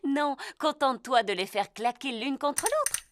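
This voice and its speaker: A woman voicing a lively, exacting female gazelle schoolteacher in a children's cartoon, speaking in an emphatic, instructive way. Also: high-pitched, unhurried, a little hiss or hum, exaggerated voice. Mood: bright, instructive. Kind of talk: French children's cartoon dub.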